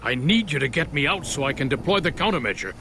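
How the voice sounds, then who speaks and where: A man's voice: on the high side, animated, fast, bright, forceful tone, slight background noise. A man playing an elderly scientist and father in a video game, speaking earnestly and urgently. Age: older. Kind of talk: video game cutscene acting.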